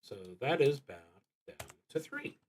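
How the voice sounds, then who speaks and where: Slow-paced man thinking aloud over a game. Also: fairly high pitch, huge pitch swings, a little mellow, slight background noise. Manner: halting, deliberate, with pauses mid-thought. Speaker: streamer playing a solo tabletop roleplaying game. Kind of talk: live solo game commentary, thinking aloud.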